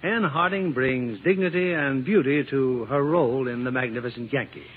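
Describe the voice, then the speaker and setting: A man's voice: mid-range voice, normal speed. A man hosting a radio drama in a scripted, formal 1950s broadcast style. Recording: muffled, noisy old radio broadcast.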